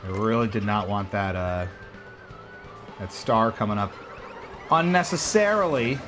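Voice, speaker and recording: A man giving casual, joking commentary while he plays a racing game on a livestream, reacting out loud to every turn. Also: fairly low pitch, unhurried, music in the background. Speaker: livestream gamer.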